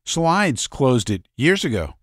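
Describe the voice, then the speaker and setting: Eager helpful young guy, man doing him, pitched up a bit. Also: big pitch swings, slow pace, fairly bright tone, no room sound, clean studio sound, exaggerated voice. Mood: explaining. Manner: eager, explaining.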